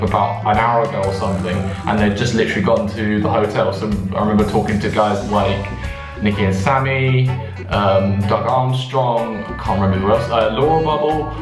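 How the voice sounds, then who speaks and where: A young British man telling a casual story to viewers in a chatty, rambling way that often interrupts itself. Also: lowish voice. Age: young adult. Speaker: vlogger. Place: indoors in a bathtub in a bathroom. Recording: echoey bathroom with music behind.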